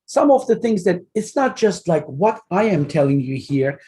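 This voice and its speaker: Mellow-voiced man with a Turkish accent. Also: high voice, very animated. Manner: earnest, halting explaining with many fillers and restarts. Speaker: journal editor and academic speaker. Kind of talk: online webinar lecture. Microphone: computer mic on a video call.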